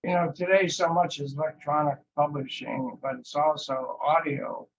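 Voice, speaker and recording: A man talking casually and haltingly in a group discussion, with dull lo-fi sound. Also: fairly high pitch, steady tone, slight background noise. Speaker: publisher and author in a community discussion.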